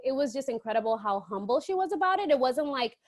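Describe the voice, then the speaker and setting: A woman from the Dominican Republic with a slightly high voice talks as a podcast guest. She tells stories in a casual, conversational way. Her speech is informal and often slips into quoted dialogue. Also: lively intonation, fast. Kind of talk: casual interview storytelling.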